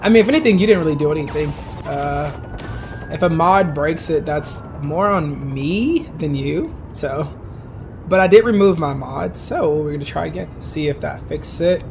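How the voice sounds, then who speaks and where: A man casually commenting on game replays for his live stream viewers, hoarse from losing his voice and talking straight to chat with lots of asides. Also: a little high, moderate pace, darkish tone, slight background noise. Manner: chatty, talks to chat directly, many asides and restarts.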